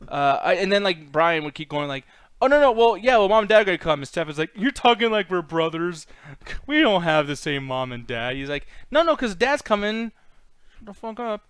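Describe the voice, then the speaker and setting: A man's voice: sits high, very animated, a little fast, a bit bright, smooth, no room sound. A man co-hosting a casual chat, telling rambling, profane anecdotes and acting out the quoted lines. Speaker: co-host of a movie discussion show.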